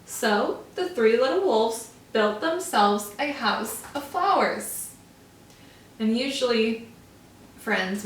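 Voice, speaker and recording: A woman teacher reading a storybook aloud to young children, with slow, expressive, drawn-out emphasis. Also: fairly high pitch, slight background noise. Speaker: first-grade teacher. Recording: roomy echo, from far off.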